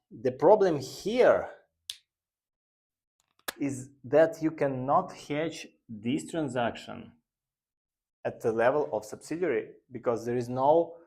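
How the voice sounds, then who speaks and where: Slow, halting male finance instructor. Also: mid-range voice, darkish tone. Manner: halting, deliberate delivery in short phrases. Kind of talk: explanatory lecture.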